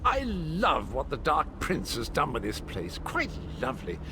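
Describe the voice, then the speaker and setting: An actor playing a cheerfully eccentric, gallant knight in a fantasy game, with a breezy, quaintly genteel manner. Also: male voice, fairly high pitch, wildly swooping pitch, unhurried, background noise. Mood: whimsical musing. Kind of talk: fantasy video game character dialogue.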